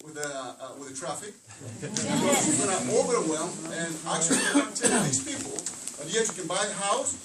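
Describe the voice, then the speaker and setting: Greek guy speaking up at a council meeting, kinda high voice, picked up from far off across the room. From Greece. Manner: earnest, repeats himself, broken English phrasing. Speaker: resident at a public meeting. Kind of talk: impassioned public comment. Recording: distant, echoey, noisy hall.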